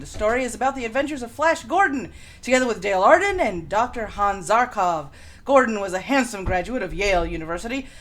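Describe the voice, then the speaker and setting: Mid-pitched host, casual radio show introductions. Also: a bit bright. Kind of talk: casual introduction and scripted summary.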